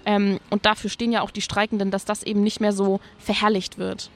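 A woman's voice: mid-range voice, flat delivery, a little fast. A woman speaking as a reporter and host in a conversational discussion.

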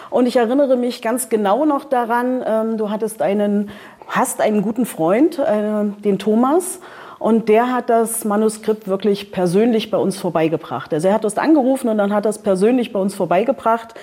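Woman, publisher moderating a book event, mellow voice, chatty, filler-heavy. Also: a little high. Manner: chatty, hesitant with many fillers. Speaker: publisher hosting a book presentation. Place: event venue with audience.

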